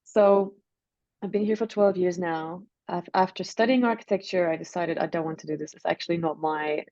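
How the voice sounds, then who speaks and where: A woman's voice: on the low side, steady tone, normal speed, darkish tone, no room sound, lo-fi sound. A woman from Turkey in her thirties with a Turkish accent, speaking as a guest coach in a reflective, searching way. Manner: reflective, searching phrasing with fillers. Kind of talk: relaxed interview.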